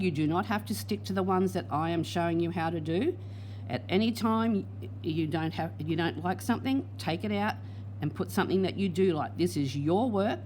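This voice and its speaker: A woman guides learners through a crochet block in a patient, step-by-step way. Her voice is worn and hoarse. A faint hum sits under the recording. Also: fairly low pitch, darkish tone. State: losing her voice. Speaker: crochet teacher. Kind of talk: crochet tutorial.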